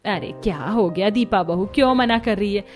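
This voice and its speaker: A woman's voice: mid-range voice, moderate pace, soft background music, lightly acted. A woman voicing an older mother-in-law in a cartoon family story, partial and fussy, given to fawning and scolding. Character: older mother-in-law, doting on the rich daughter-in-law.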